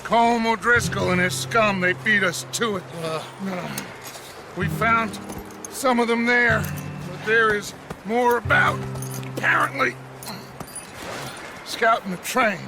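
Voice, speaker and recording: Slow, weary outlaw gang leader. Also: male voice, sits high, fairly even intonation, music in the background. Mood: weary, commanding. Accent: American. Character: weary, grandiose, protective middle-aged male outlaw gang leader. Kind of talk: cinematic western game acting. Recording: clear background noise.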